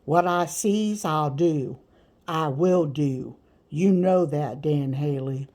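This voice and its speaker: Audiobook heavy, female reader playing a gruff, menacing man, slow and emphatic, with rough country grammar. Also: deep voice, a little mellow. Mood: defiant. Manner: blunt, threatening, rustic grammar. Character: big, gruff, bullying male slave catcher, slow-thinking. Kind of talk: audiobook character voice.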